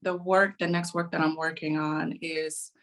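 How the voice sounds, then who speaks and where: A woman guest talking casually in an interview, phrasing her thoughts in a halting, thoughtful way. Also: sits low, little pitch movement, fairly bright tone. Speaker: interview guest, author and indie publisher.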